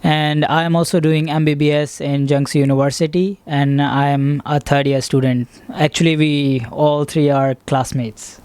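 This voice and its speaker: A man's voice: on the high side, level tone, unhurried, few pauses, darkish tone, smooth, no echo. A man in his twenties with a Pakistani accent, a medical student casually answering questions in an interview. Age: 20s. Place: student dormitory room.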